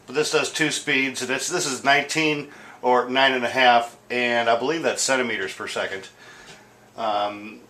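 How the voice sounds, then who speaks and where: A man's voice: medium pitch, bright, forceful tone. A man giving a casual product demonstration, explaining in a rambling way and often correcting himself mid-sentence. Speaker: vintage audio gear seller demonstrating a tape deck. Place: indoor room at home, beside stereo gear. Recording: roomy echo with noticeable background noise.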